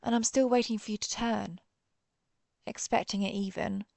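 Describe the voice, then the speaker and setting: A woman playing a cool, menacing, centuries-old vampire hunter in a roleplay audio drama, deliberate and sardonic as she interrogates the listener. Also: medium pitch, steady tone, creaky, darkish tone, no echo, close to an everyday voice. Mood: coolly threatening. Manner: deliberate, interrogating, dryly threatening.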